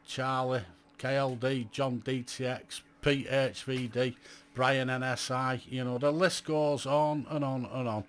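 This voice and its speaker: A man's voice: medium pitch, level tone, measured pace, a little hiss or hum. A man in his late fifties, a radio amateur looking back on his hobby in a blunt, chatty monologue full of "you know" asides. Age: 50s. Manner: blunt, chatty, self-described grumpy, lots of "you know". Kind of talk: reflective casual monologue to camera.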